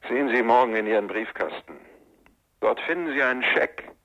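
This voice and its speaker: An actor playing a cold, menacing go-between in a radio crime drama, husky, insistent and businesslike. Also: male voice, medium pitch, expressive, brisk, highs cut off, background noise, lightly acted. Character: cold, menacing male go-between offering bribes.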